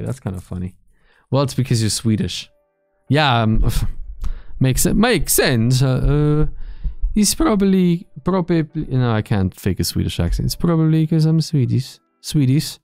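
Smooth-voiced streamer chatting with his chat. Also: medium pitch, a little mellow, dry recording, clean studio sound. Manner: joking, swears freely, restarts often. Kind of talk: casual chat reading live chat. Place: small gaming room lit purple. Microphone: studio mic on boom arm, headphones.

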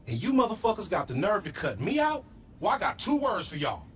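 A man's voice: high-pitched, huge pitch swings, fairly quick, slight background noise. A man playing a brash, aggrieved hustler buddy in a video game, theatrical and boastful, with slangy trash talk. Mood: indignant. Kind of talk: video game comedy acting.

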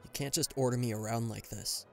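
A man's voice: mid-range voice, steady tone, fairly quick, gravelly, soft background music, close to an everyday voice. A man playing an aloof, reluctantly caring bodyguard in a roleplay audio drama, talking to the listener in a dry, guarded, mildly exasperated way. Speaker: voice actor.

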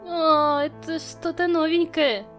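A woman's voice: very high voice, fairly even intonation, slow pace, faint music behind. A woman voicing a nerdy, shy female lizard scientist in a fan comic dub, giggly and hesitant. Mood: playful.

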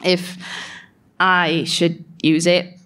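A woman's voice: on the low side, little pitch movement, very slow, a bit bright, exaggerated voice. A woman playing a sarcastic, grumbling fey creature in a live audio drama, complaining and swearing through a diary monologue. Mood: reluctant, unsure. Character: grumpy, sarcastic female fey creature, forced into diary-writing. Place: live on stage at a venue.

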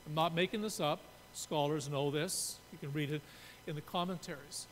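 Older canadian guy preaching, kinda high voice, echoey church, low rumble behind. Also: steady tone, normal speed. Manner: explanatory, gesturing at a pulpit. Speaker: pastor. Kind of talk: sermon. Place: church sanctuary. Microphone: pulpit mic. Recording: reverberant church room, faint rumble.

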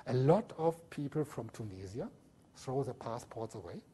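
German-accented man lecturing, husky and dark. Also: mid-range voice, animated. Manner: halting non-native English, checks in with "yeah?". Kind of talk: academic lecture with slides.

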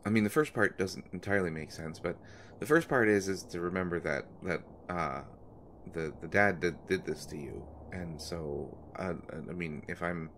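A man performing an intimate audio roleplay as a nervous, eager-to-please young man who talks in halting, flustered stammers. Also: low voice, a bit bright, slight background noise. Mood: earnest, hesitant. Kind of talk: intimate one-sided audio roleplay drama.

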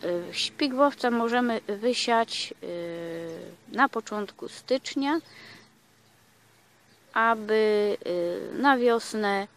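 Slow-talking woman in a garden. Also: medium pitch. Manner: hesitant, many filler sounds. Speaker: gardening vlogger. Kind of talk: casual explanatory gardening talk. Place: outdoors in a garden.

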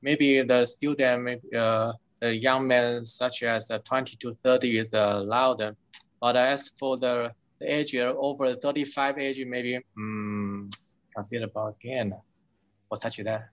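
A man's voice: mid-range voice, little pitch movement, unhurried, highs cut off. A man with a Taiwanese accent, asking hesitant, apologetic questions with many fillers during an online webinar. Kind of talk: halting questions in a video call.

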